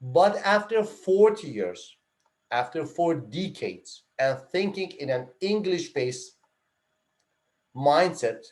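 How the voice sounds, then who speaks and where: A man giving an online psychology lecture, repeating and rephrasing his points and listing items one after another, with emphatic rises and drops in pitch. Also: expressive, slow, a little mellow. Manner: repeats and rephrases phrases, lists items in runs. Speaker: psychology instructor.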